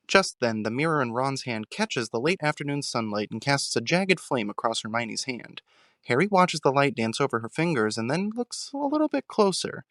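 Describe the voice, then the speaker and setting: A man narrates an audio drama in a medium-pitched voice. The delivery is scripted storytelling, recorded close and dry without room sound. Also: very animated, moderate pace, a bit bright, dry recording.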